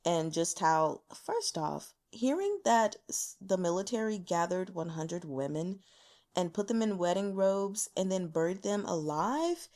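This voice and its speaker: A woman hosting a book vlog, giving casual, chatty commentary from her notes in an animated, slangy way with giggly asides. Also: lowish voice, measured pace.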